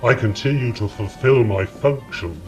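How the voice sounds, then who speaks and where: A stern angel guardian played by a man speaks in a mid-pitched voice with music underneath. The delivery is solemn and formal, audio drama style. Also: normal speed, close to an everyday voice.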